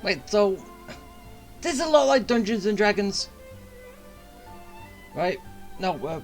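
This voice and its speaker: Animated, slow-paced casual game streamer. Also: mid-range voice, soft background music. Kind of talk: casual reaction commentary.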